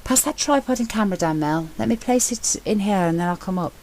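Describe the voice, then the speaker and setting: A woman reading a story aloud and voicing a calm young man, speaking in a matter-of-fact way. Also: mid-range voice, fairly quick, slight background noise, pitched above the performer's own voice, close to an everyday voice.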